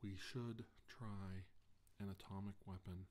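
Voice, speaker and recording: A middle-aged man playing a grave scientist giving a hushed, hesitant deposition to an official in a quiet ASMR role-play. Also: low voice, steady tone, slow. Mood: grave, measured. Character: grave scientist briefing a navy official after captivity.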